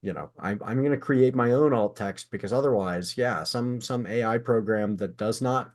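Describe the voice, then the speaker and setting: A man's voice: medium pitch, moderate pace. A man hosting a podcast in a casual, conversational style, engaged and responsive as he interviews.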